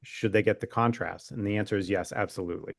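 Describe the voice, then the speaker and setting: A man with a fairly low voice talks as a medical expert in an interview. He explains in a conversational, informal way with a wry streak, and the recording is dry with very little room sound. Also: steady tone, fast talker, dry recording. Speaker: physician guest expert.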